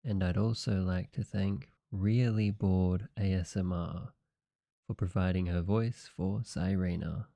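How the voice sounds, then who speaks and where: A man gives a casual channel outro as host. His voice is low, very dark and gravelly, recorded close and dry. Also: fairly even intonation, unhurried, no echo.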